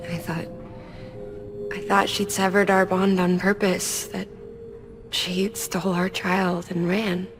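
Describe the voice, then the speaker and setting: An actress playing a grieving, tender woman engineer in a video game drama, speaking in a hushed, halting way. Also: lowish voice, flat delivery, faint music behind. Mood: reflective, regretful.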